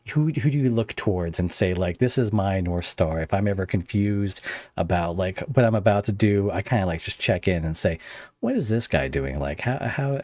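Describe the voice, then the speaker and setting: A man's voice: deep voice, darkish tone, no room sound. A man hosting a podcast, asking questions in an easygoing, hesitant way. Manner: easygoing, hesitant, many fillers and restarts. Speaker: podcast host. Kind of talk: casual interview chat.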